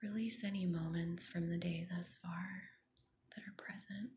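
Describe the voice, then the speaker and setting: Slow, whispery woman guiding meditation. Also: lowish voice, steady tone. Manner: soft, breathy near-whisper, soothing and gentle. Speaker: meditation guide, podcast host. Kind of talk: guided meditation.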